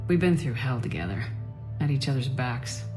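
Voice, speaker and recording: An actress playing a steady, caring military commander in a video game, direct and plain-spoken. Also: female voice, very deep voice, normal speed. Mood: sincere, concerned. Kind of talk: video game dramatic acting. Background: music.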